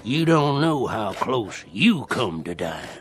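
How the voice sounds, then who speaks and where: A man's voice: on the low side, big pitch swings, fairly bright tone, faint music behind, heavily acted. An actor playing an unruffled, folksy old townsman in a radio drama, wry, with a Southern US accent. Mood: calm, wry. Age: older. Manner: unhurried, wry.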